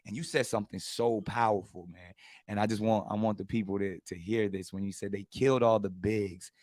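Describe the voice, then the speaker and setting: A man's voice: lowish voice, brisk, dry recording, studio quality. A man hosting a casual talk show, speaking animatedly and often restarting his sentences. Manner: animated, many repeated starts. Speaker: host. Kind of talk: casual conversation with a guest.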